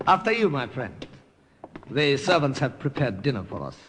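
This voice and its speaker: Man playing a courteous Siamese major, very animated. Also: medium pitch, natural voice. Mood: gracious, composed. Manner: polite, measured, formally precise English. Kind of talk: old-time radio drama acting. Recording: noisy old radio recording with clicks.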